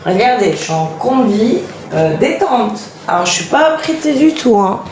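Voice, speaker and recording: A woman's voice: fairly high pitch, big pitch swings, moderate pace, a little mellow. A woman in her late thirties from eastern France with a Franc-Comtois accent, chatting on a home live stream and answering viewer comments in a chatty, emphatic, exasperated way. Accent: Franc-Comtois French. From Franche-Comté, eastern France. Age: late 30s. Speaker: live stream host, mother of a large family. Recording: echoey room, faint background noise.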